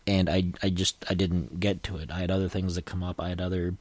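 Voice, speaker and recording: Man, low voice, sniffly cold, rambling casual podcast talk, dry close sound. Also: flat delivery, quick pace, darkish tone, dry recording. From United States. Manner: rambling, self-deprecating, frequent restarts. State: has a cold, sniffly and coughing. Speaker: podcast host. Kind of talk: casual solo podcast monologue.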